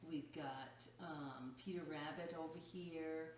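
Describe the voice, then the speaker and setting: A woman with a low voice hosts a children's story time. She reads at a slow, expressive pace and breaks off for casual explanations. The recording is very echoey, as if the microphone sits some way from her. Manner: expressive storytelling, drawn-out words, explanatory asides. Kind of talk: reading a picture book aloud to children, with chatty asides. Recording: echoey room, distant mic, faint noise.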